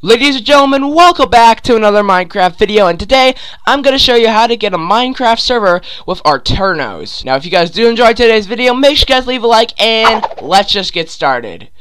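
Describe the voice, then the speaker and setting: A man's voice: sits high, lively intonation, a little fast, a bit bright, clean tone, soft background music. A young man with a sore throat and stuffy nose, rambling with lots of fillers while walking through a screen-recorded game tutorial. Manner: rambling, many fillers and restarts. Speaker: gaming YouTuber.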